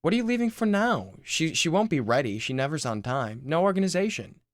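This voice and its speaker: Screenplay role: brash fitness-mad dad, forties, blustering, lively swings in pitch , acted by a male reader. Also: brisk, no room sound, studio quality. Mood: exasperated. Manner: boastful, blustering, exasperated. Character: brash, self-obsessed fitness-mad father in mid-forties.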